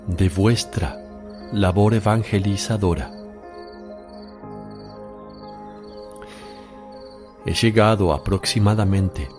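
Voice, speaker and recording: An actor playing a calm, authoritative holy teacher with a solemn, measured delivery in a religious radio drama. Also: male voice, lowish voice, lightly acted. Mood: calm, commanding. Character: Jesus, calm authoritative teacher addressing disciples.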